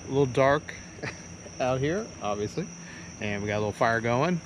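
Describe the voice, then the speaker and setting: A man's voice: medium pitch, expressive, normal speed. Laid-back man vlogging in noisy outdoors. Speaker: vlog host. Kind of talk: casual camping vlog. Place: outdoors at a state park and campsite. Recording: noisy outdoor recording with insects.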